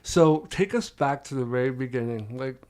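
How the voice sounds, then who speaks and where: Encouraging podcast host man, mid-pitched voice. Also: normal speed, darkish tone. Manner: affirming, encouraging, asks probing questions. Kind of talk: conversational interview hosting.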